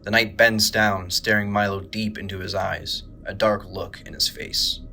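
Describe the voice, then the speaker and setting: A man's voice: fairly low pitch, level tone, a little slow, bright, forceful tone, a little hiss or hum. A man reading scripted dramatic narration for an audio drama.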